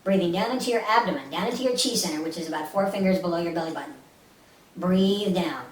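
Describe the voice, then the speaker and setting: A woman's voice: fairly low pitch, fast. A woman leading a guided meditation as an instructor, speaking in a soothing, instructive way with short repeated phrases. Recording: roomy, distant mic with faint hiss.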